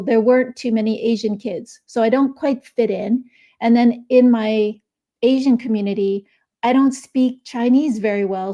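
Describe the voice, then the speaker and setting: Mellow-voiced woman guest, chatty. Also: on the high side. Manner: chatty, explains at length with many fillers. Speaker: guest author. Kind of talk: casual interview chat. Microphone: remote video call.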